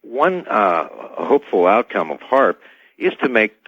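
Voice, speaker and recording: A man's voice: fairly low pitch, big pitch swings, measured pace, slightly rough, no room sound. A man speaking as an expert radio guest, answering in a formal, wordy, official-sounding way. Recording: dull, band-limited radio line.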